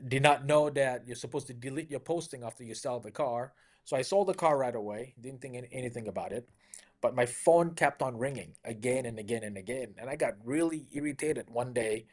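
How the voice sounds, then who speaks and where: A man's voice: medium pitch, fairly quick, darkish tone. A middle-aged man from Malaysia, a car dealership owner, telling stories in an upbeat, conversational interview style with frequent "you know" fillers.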